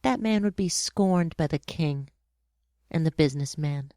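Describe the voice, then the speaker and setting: Storybook child role for a male performer: thoughtful young prince, soft light voice raised high, unhurried, wistful delivery. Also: no room sound, studio quality. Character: the little prince, a gentle thoughtful young boy.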